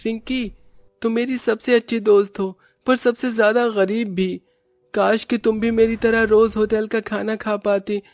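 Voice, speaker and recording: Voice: medium pitch, level tone, a little slow, a little mellow, no room sound, clean studio sound, faint music behind, close to an everyday voice. A voice actor playing a boastful, taunting rich young boy in a cartoon story. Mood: smug, friendly. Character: boastful rich young boy who loves hotel food.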